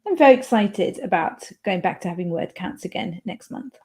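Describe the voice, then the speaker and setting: A woman's voice: medium pitch, expressive, normal speed, a little mellow. A woman hosting a writing livestream, chatting casually and playfully as she reads chat messages aloud.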